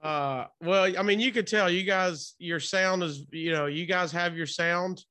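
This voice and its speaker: Bright, higher-pitched male interview host. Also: unhurried. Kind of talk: casual interview chat.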